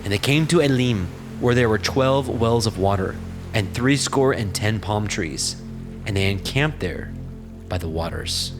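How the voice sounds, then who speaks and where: A man's voice: lowish voice, animated, unhurried. A man narrating scripture from a script in a solemn, formal storytelling manner. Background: prominent music.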